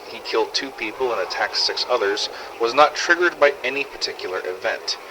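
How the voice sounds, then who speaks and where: A man playing a measured, analytical outbreak investigator, giving a precise formal report in a game audio log. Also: mid-range voice, noisy background, lightly acted. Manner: clinical, precise.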